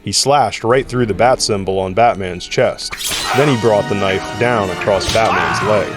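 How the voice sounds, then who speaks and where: A man's voice: lowish voice, normal speed. A man narrating an audio drama in a dramatic, scripted storytelling style. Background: dramatic music.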